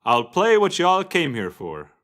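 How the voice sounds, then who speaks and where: A man voicing a small, showy male tavern bard who announces loudly and theatrically in a fantasy story. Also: fairly high pitch, wildly swooping pitch, unhurried, voice pushed up, heavily acted. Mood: exasperated.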